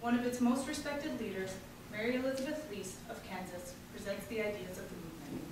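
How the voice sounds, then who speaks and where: A woman's voice: mid-range voice, brisk. A woman narrating at an event, reading a scripted historical introduction aloud. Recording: very echoey hall, distant, noisy.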